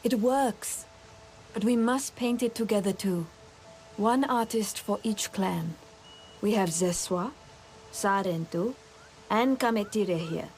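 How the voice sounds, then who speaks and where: Confident alien clan artist. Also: female voice, medium pitch, slow pace, long pauses, a little mellow, soft background music. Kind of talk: video game dialogue acting.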